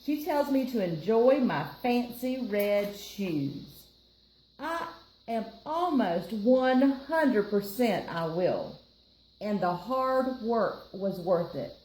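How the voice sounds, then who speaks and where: A woman's voice: mid-range voice, very animated, slow pace, a little mellow. An American woman reading a picture book aloud to children, adding chatty explanatory asides as she goes. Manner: explanatory, chatty, addressing listeners and co-reader. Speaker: library storytime reader. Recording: roomy echo, faint background noise.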